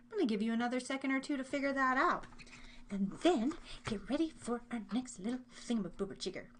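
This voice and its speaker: A woman with a high voice and an American accent hosts a casual livestream. She rambles informally to her listeners, dropping playful slang as she goes. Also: fairly bright tone. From Kansas, United States. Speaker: livestream and podcast host. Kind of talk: casual live chat with listeners. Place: her room at home.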